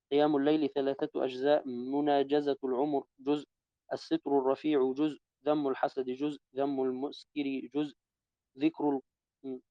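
A man reading a classical Arabic text aloud to a teacher in a steady, even recitation, correcting his own slips as he goes. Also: on the high side, no echo, muffled recording.

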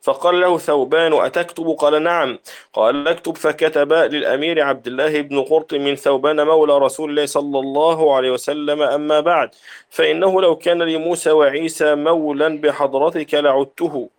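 A man reading a classical Arabic text aloud to his teacher, in steady recitation of reports and narrator chains. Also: a little high, a little fast.

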